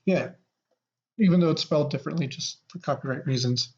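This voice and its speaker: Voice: mid-range voice, brisk. Rambling podcast host, muffled sound. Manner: rambling, repeats phrases. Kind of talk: casual improvised banter.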